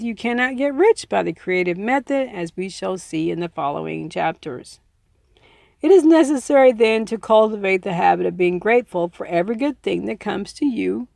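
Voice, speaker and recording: A woman narrates a self-help audiobook in a medium-pitched voice with a noticeable creak. Her phrasing is deliberate and emphatic, moving between read text and informal commentary. The sound is close and dry. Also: darkish tone, dry recording. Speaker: audiobook reader adding personal commentary.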